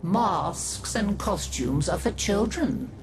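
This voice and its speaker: A woman's voice: mid-range voice, expressive, slow pace, over music, pitched above the performer's own voice. A woman putting on flamboyant, haughty, decadent aristocrats. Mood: bored, haughty. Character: vain, decadent young aristocrats, bored and cruelly gleeful. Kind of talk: storybook character voices in a video game.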